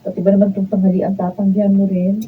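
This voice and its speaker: A woman's voice: medium pitch, little pitch movement, fast talker, close to an everyday voice. A woman acting a jealous, pleading wife in a Filipino radio drama, with a Filipino accent. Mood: coaxing. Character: jealous, pleading wife begging for her husband's love. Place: radio drama recording session. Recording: tinny, noisy band-limited raw studio video.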